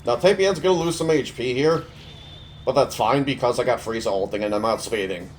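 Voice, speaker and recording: A young man with a cold, his voice stuffy, giving relaxed, offhand commentary while playing a mobile game. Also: mid-range voice, fairly quick, slight background noise. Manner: relaxed, offhand, thinking aloud. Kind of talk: casual gameplay commentary.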